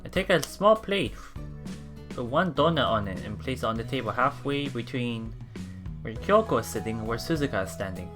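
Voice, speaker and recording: A let's player reads a visual novel aloud with casual commentary on the side. The delivery is animated and reactive, with very lively swings in pitch. Game music plays behind the voice. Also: moderate pace. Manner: animated, reactive, laughs often. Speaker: let's play streamer.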